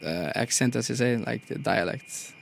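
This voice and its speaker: A man's voice: medium pitch, moderate pace, creaky, a little hiss or hum. A man in his twenties from Brazil, a guest chatting casually on a podcast in a radio studio, laughing often and filling his talk with casual asides. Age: 20s. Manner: easygoing, laughs often, many fillers like "you know".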